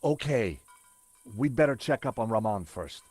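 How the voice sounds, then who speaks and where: An actor playing an intrepid American adventurer in a point-and-click game, narrating with a calm, wry delivery. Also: male voice, medium pitch, normal speed, husky, dry recording, soft background music. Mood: determined. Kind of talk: video game voice acting.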